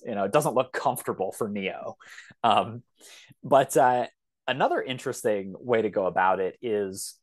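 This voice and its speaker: A man in his thirties talking casually as a podcast guest, thinking aloud in a halting, thoughtful way. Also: medium pitch, dry recording.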